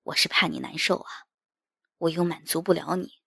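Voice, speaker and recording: Woman as a hushed, husky, brooding husband. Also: sits low, steady tone, moderate pace, no echo, clean studio sound, full character voice. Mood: dejected. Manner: hushed, soft, wistful. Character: brooding, yearning husband, gentle and dejected.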